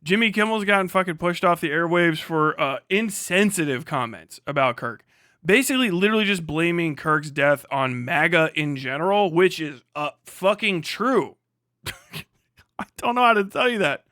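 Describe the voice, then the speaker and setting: A man with a high, clear voice delivers loose livestream commentary. He talks like a showman one moment and rambles irreverently with plenty of swearing the next. The sound is dry and close, with a crisp, forceful edge. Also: animated, moderate pace, dry recording. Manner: profane, slangy, irreverent. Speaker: live stream host.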